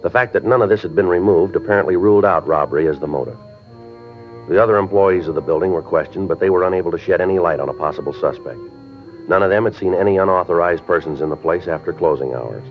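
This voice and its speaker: An actor in an old radio drama playing a polite, businesslike police detective, speaking in a deadpan, matter-of-fact way. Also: male voice, deep voice, fast, soft background music. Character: terse, polite, businesslike police detective narrating his case. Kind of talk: old-time radio drama acting and narration. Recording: muffled vintage radio recording.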